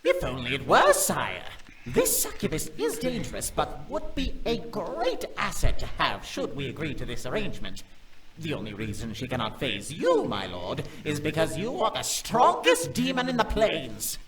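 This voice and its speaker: Fawning royal demon underling, high-ish and very animated, formal pleading, distant sound. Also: male voice, moderate pace, a crowd in the background. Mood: wheedling, reverent. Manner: deferential, formal, wheedling. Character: obsequious, pleading male demon servant to a king. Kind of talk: visual novel game voice acting.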